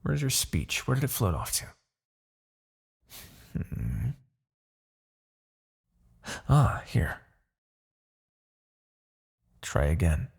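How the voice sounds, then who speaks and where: A man voicing an arrogant, brooding demon king in a fantasy ASMR roleplay, condescending and theatrical, with a husky, gravelly low voice and pauses. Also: huge pitch swings, exaggerated voice. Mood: focused, coaxing. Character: arrogant demon king, gloating yet begrudgingly attached captor.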